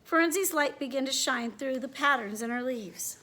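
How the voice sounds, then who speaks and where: A woman's voice: high voice, moderate pace, pushed and bright, a little hiss or hum. A woman hosting a children's read-aloud and chatty demonstration, speaking directly to young listeners and asking them questions. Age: adult.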